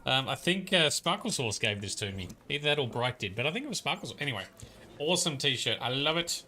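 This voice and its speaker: Bright-voiced chatty male streamer. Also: mid-range voice, sing-song, quick pace, slight background noise. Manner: chatty, reads and answers chat comments, frequent "um"s. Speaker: livestreamer playing a game. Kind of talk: casual livestream commentary.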